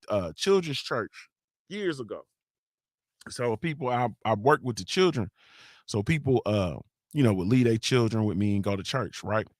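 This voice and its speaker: A man's voice: medium pitch, no echo, clean studio sound. A man hosting a morning show, chatting loosely and cussing often, with slangy storytelling and constant "you feel me" tags. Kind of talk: casual live-stream chat reading comments.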